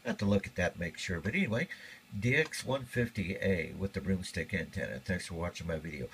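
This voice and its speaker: A man's voice: deep voice, lively intonation, brisk, fairly bright tone, a little hiss or hum. An older man talking in a casual, folksy, plainspoken way as he shows off a radio he repaired. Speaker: radio hobbyist. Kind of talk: casual show-and-tell.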